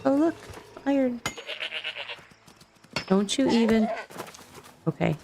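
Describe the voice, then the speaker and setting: A woman's voice: a little high, lively intonation, slow, vocal fry, noisy background. A woman doing casual, playful video game commentary, wryly talking to herself as she plays.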